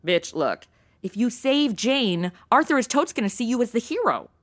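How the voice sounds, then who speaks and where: A woman's voice: a little high, big pitch swings, normal speed. An actress playing a flippant, sarcastic modern young woman turned amateur sleuth in a comedic audio drama, full of slang. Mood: sassy, chummy. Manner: sarcastic modern slang, valley-girl phrasing.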